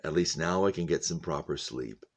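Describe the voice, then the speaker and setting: Man reading as a kindly deep-voiced papa. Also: normal speed. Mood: wry, gentle. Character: kindly, wry foster father. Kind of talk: storybook read-aloud dialogue.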